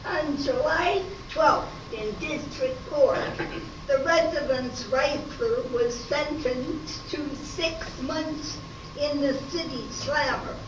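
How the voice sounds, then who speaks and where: A formal, measured radio drama announcer, played by a woman. Her high voice is slow and sounds far from the mic in an echoey room. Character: formal female announcer reading court verdict in radio drama. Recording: echoey, distant, noisy dull live recording.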